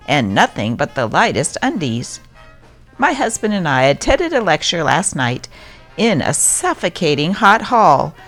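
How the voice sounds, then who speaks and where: A woman narrator giving a scripted reading of old newspaper stories. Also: lowish voice.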